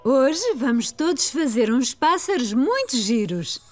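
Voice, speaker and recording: A woman's voice: sits high, sing-song, unhurried. A woman voicing a cheerful, encouraging preschool teacher who praises small children in European Portuguese for a cartoon. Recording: faint music behind the voice.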